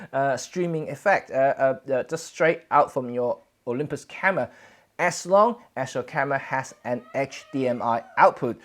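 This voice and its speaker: A Cantonese-native man from Hong Kong chats casually to viewers of his photography livestream. He talks in a halting, relaxed way, full of fillers and restarts, from a home setup. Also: fairly high pitch. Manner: halting, many fillers and self-corrections. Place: home, indoors. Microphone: camera setup for streaming.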